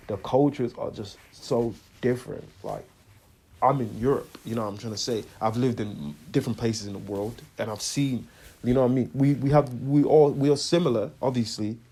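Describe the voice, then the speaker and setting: A young man from Congo, telling a story in a slangy, animated rant and often asking "you know what I'm trying to say". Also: on the low side. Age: young adult. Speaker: vlogger.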